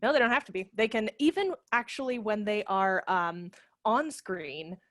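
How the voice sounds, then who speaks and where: A woman in her thirties giving informal practical instruction on a video call, explaining with quick asides. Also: mid-range voice, no echo, studio quality. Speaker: theatre director and workshop presenter. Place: home room with brick wall, video call. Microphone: headset microphone.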